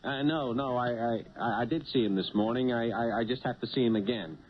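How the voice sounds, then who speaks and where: A man acts out a nervy cab driver trying his hand at blackmail in a seventies radio drama. He talks like a folksy working man, hesitating as he presses. The old broadcast is muffled, with a loud vehicle rumble behind him. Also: fairly quick, natural voice. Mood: nervous, evasive. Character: male cab driver turned nervy small-time blackmailer. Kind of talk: 1970s radio drama acting.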